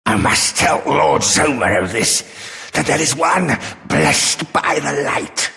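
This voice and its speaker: A man's voice: on the high side, expressive, slow, vocal fry, sharp tone, exaggerated voice. A man playing an arrogant, menacing monster servant of the underworld in a fantasy game, sneering melodramatically in long reverb. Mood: shocked disbelief. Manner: sneering, savoring, melodramatic villain delivery. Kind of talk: fantasy video game villain acting.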